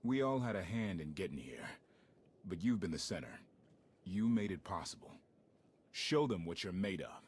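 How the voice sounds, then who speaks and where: An actor playing a loyal, easygoing pilot and comrade in a sci-fi video game cutscene. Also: male voice, deep voice, big pitch swings, moderate pace, long pauses, darkish tone, slightly rough, full character voice. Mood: encouraging.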